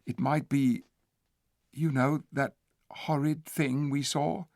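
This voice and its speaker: A man's voice: mid-range voice, fairly even intonation, slow pace, no room sound, clean studio sound, pitched above the performer's own voice. A man voicing a frightened young girl who whispers her worries. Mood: hushed fear. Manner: whispering, hushed.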